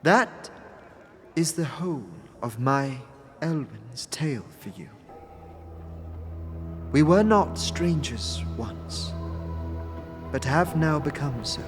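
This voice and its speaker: Man voicing a slow, high-pitched ancient otherworldly woman. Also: lively intonation, over music. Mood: sad farewell. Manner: halting, formal, repeatedly names herself mid-sentence. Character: centuries-old female otherworldly being, formal, wistful, wary. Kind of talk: dramatic fantasy game audio drama.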